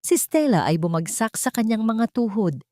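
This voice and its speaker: A woman's voice: fairly high pitch, very animated, normal speed, dark tone, no room sound, clean studio sound. A woman reading a scripted dramatic audio story as a storyteller, in a solemn and emotive way.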